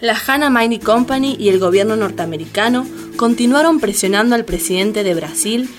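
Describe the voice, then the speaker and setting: A woman's voice: a little high, lively intonation, fairly quick, over music, lightly acted. A woman narrating scripted documentary radio theatre.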